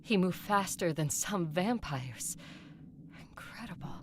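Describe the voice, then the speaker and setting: A woman playing an ancient vampire conqueror, fond and watchful, narrating her own story in an audio drama with a creaky voice. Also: on the low side, animated, slow. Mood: tender, awed. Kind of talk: dramatized first-person audio fiction narration. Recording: clearly noisy recording.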